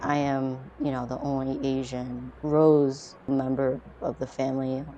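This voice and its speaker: A man's voice: medium pitch, little pitch movement, a little slow, dark tone, lo-fi sound, a little hiss or hum. A young man from China, adopted young, speaking reflectively in a documentary interview, often saying "you know".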